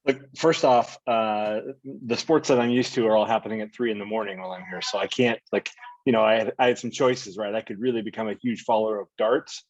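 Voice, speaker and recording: A man from the United States co-hosting a podcast, telling a long story in relaxed, rambling casual chat with many fillers. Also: on the low side, fairly quick, no room sound, dull audio. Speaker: podcast co-host.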